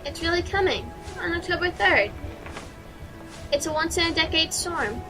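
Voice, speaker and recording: A woman's voice: very high-pitched, lively intonation, normal speed, music in the background. A woman playing an eccentric, childlike schoolgirl in an audio drama, with a whimsical, theatrical way of talking. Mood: ominous, dreamy. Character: eccentric, childlike schoolgirl who tells fanciful lies.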